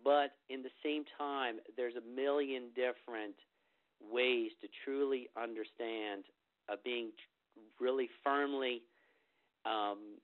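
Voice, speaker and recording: A man's voice: medium pitch, fairly even intonation, slow. Man on a tinny phone line. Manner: rambling, many fillers and restarts. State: getting over a cold. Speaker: meditation teacher hosting a group phone call. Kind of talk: informal spiritual talk before a meditation. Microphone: telephone.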